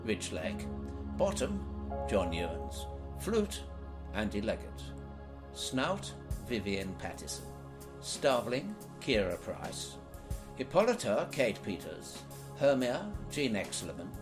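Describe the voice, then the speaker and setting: A man's voice: on the low side, very animated, slow pace. Guy announcer doing radio play end credits, very up and down delivery over music.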